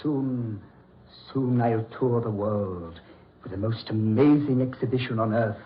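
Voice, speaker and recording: A man's voice: lowish voice, natural voice. Man playing a grandiose mad scientist, muffled radio. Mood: grandiose, obsessed. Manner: grandiose, deliberate, theatrical. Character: mad scientist doctor, proud, coldly polite, obsessed, menacing villain. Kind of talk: old-time radio horror acting. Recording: muffled vintage radio drama recording.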